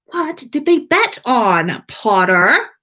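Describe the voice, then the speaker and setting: A woman's voice: on the high side, big pitch swings, slow, sharp tone, pitched above the performer's own voice, exaggerated voice. A woman voicing a haughty, dramatic teenage wizard boy who drawls indignant complaints in a storybook reading. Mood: alarmed.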